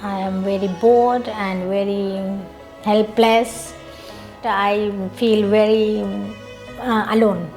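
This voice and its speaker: A woman from India, describing her experience in halting, simple English. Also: medium pitch, flat delivery, a little mellow, faint music behind. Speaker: interviewee. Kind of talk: personal testimony.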